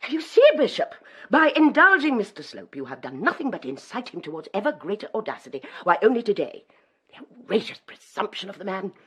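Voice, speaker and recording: A domineering, indignant bishop's wife. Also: female voice, mid-range voice, very animated, brisk, fairly bright tone, breathy edge. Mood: stern reproach. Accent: British. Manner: haughty, emphatic, interrogating. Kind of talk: period radio drama acting.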